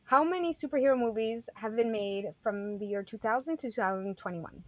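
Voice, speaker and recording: A playful, excitable woman co-hosting a casual podcast and putting questions to the panel. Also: on the high side, brisk, dry recording. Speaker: show co-host and quizmaster.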